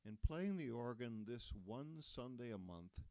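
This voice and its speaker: A man's voice: mid-range voice, expressive, measured pace, a little mellow, dry recording. A man voicing an earnest young man from Texas who patiently explains things.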